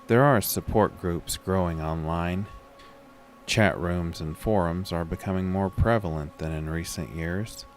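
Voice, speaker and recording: Very deep, slow horror narrator, acted by a man. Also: soft background music. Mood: somber. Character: haunted man recounting a horror, weary and uneasy. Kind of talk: scripted first-person horror audio drama narration.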